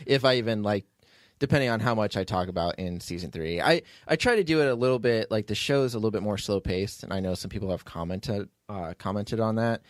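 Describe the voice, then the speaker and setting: A man's voice: lowish voice, a little fast, no echo, clean studio sound. A man hosting a podcast in casual, unscripted chat, talking informally in a rambling way and often restarting his own sentences. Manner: rambling, informal, self-interrupting. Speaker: podcast host, writer and producer.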